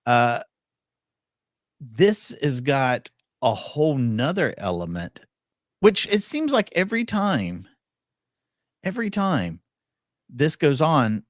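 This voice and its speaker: Animated man riffing on a live stream. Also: mid-range voice, dry recording, clean studio sound. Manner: animated, exclaiming, rhetorical questions. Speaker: co-host of a court commentary stream. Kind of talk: casual live-stream commentary.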